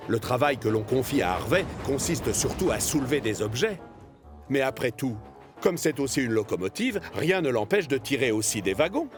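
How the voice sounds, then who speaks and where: A man narrating a children's cartoon in French as an expressive, scripted storyteller. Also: mid-range voice.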